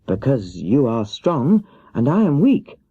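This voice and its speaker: Man putting on a high-pitched, meek little girl. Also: wildly swooping pitch, unhurried, mellow, husky, no room sound, exaggerated voice. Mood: pleading. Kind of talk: children's audiobook character voice. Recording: dull 1970s cassette audiobook.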